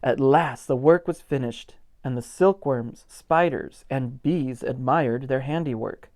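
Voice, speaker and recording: Slow-paced man reading a children's story. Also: mid-range voice. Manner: gentle, expressive, stretches key words for emphasis. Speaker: pastor reading a children's picture book aloud. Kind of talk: expressive storytime reading with simple asides to children.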